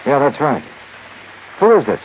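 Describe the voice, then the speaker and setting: An actor playing a terse, wry, hard-boiled investigator in a 1950s radio drama. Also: male voice, medium pitch, sing-song, measured pace, slight background noise. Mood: curt. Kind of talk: 1950s radio acting with first-person narration. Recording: dull 1950s radio recording.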